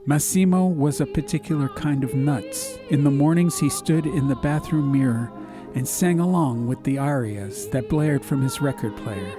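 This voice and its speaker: A man's voice: mid-range voice, normal speed, over music. A man reading an atmospheric story as an audiobook narrator, in a scripted literary style.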